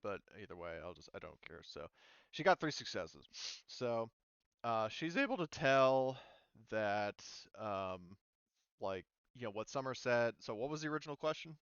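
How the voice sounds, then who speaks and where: A man narrating a tabletop game as game master, speaking casually and haltingly with the odd swear word. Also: mid-range voice, big pitch swings, a bit bright, smooth, no room sound, lo-fi sound. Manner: halting, casual, mildly profane.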